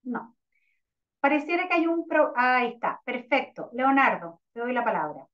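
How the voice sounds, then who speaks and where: Woman, high voice, webinar moderator, hesitant, distant dull call audio. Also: very animated, normal speed, a bit bright. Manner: hesitant, many fillers and restarts. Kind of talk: moderating a virtual panel. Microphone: video call.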